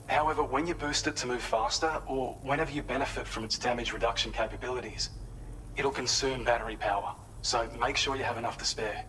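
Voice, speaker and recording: A man acts an earnest, helpful facility researcher in a video game. His voice is bright and slightly low, and he explains things in a polite, measured way over faint ambient noise. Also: close to an everyday voice, poor audio. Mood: earnest, businesslike. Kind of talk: scripted game briefing.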